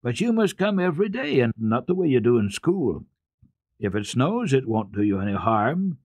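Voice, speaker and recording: A man voicing an earnest, kindly little girl who coaxes and recites in a measured sing-song for a storybook. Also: mid-range voice, very animated, a little mellow, no echo, voice pushed up. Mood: coaxing.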